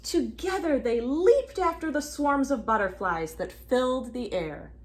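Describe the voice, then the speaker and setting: Woman doing a kids read aloud, highish voice, super singsong up and down, asks little questions. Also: a little slow. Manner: animated, addresses children with questions. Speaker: children's read-aloud reader. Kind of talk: expressive storybook reading with asides to young listeners.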